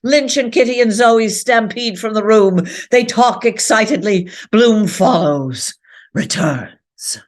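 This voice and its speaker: A woman's voice: on the high side, animated, takes it slowly. A woman giving a dramatic reading of a novel, with a theatrical delivery that voices each character. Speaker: reader.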